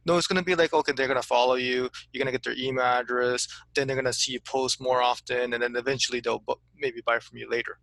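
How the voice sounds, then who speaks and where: A man's voice: mid-range voice, fairly even intonation, fast, vocal fry, few pauses, fairly bright tone, no room sound, low-quality recording. A man coaching an insurance agent in a casual consulting chat, eager and full of restarts. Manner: eager, repeats words when starting, says "to be honest with you".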